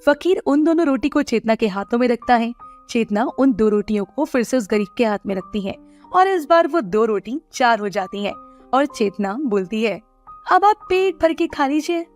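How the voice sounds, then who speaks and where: A woman giving scripted narration of an animated moral story. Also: fairly high pitch, normal speed, soft background music.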